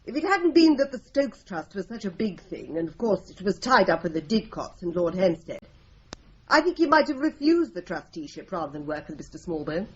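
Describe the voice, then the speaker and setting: An actress playing a patient, practical longtime secretary at a law firm in a radio play. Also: female voice, medium pitch, sing-song, a little fast, breathy edge. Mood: composed. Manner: composed, practical, polite. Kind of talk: radio drama acting. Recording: dull lo-fi radio recording, faint hiss.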